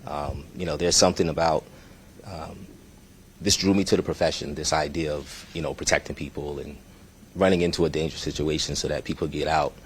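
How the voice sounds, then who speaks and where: A man in his forties, a police chief answering press questions in a measured, hesitant way with many fillers. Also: very deep voice, steady tone, slight background noise.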